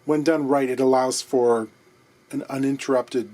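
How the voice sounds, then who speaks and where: A man's voice: medium pitch, steady tone, unhurried, mellow. Wry, chatty male luthier host. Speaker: guitar repair luthier and channel host. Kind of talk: casual workshop vlog.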